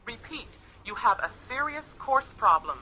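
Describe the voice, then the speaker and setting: Radio drama: analytical, earnest female spacefarer, animated pitch, noisy transmission. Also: moderate pace, highs cut off. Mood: urgent, insistent. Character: Margot, earnest analytical female spaceship crew member from the future. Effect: radio transmission filter.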